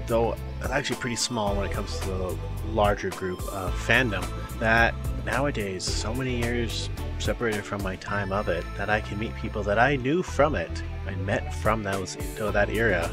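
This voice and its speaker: A man hosting a casual interview, talking like an enthusiastic fan. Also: lowish voice. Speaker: interview show host. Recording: music playing behind the voice.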